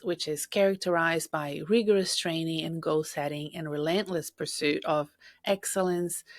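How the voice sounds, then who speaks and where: Husky-voiced woman hosting a podcast. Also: on the low side, dry recording, studio quality. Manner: chatty, personal, addresses listener directly. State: husky from recent illness. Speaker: podcast host and career coach. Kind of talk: solo podcast monologue.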